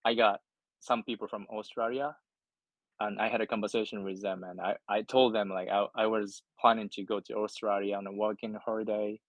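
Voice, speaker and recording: A man from Japan with a Japanese accent, chatting casually as an English learner, halting and repeating words as he searches for phrasing. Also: lowish voice, fairly even intonation, no room sound, lo-fi sound. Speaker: English learner in an online lesson. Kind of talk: casual conversation practice in English.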